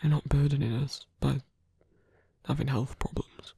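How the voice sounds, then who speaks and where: A performer in an intimate ASMR roleplay, voicing a gentle, protective supernatural lover with a husky, breathy voice and a hesitant, earnest delivery. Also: mid-range voice, steady tone, unhurried, dry recording, clean studio sound, exaggerated voice. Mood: earnest, devoted.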